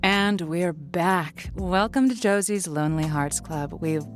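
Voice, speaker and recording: A flirty, theatrical lonely-hearts host played by a woman in a talk-radio sitcom. Her voice sits a little low, with coy, playful flourishes. Music is clearly heard behind her. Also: measured pace, close to an everyday voice. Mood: flirty, welcoming.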